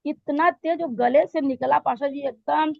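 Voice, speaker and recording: A hoarse woman giving a halting spoken testimony to her pastor, with many fillers and restarts. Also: high voice, no echo, lo-fi sound. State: hoarse throat. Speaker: church member giving testimony.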